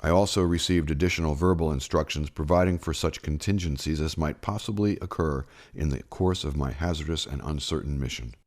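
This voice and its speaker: A man reading a historical first-person memoir as scripted narration, with measured, formal storytelling delivery. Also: very deep voice, a little mellow. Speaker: audiobook narrator. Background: plucked guitar music.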